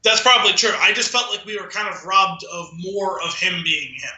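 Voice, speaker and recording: A man with a high-pitched, very sharp and bright voice co-hosts a casual podcast. He offers opinions conversationally, and the sound around his voice is noticeably roomy and echoey. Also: fairly quick. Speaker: podcast co-host. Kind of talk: casual opinionated film discussion.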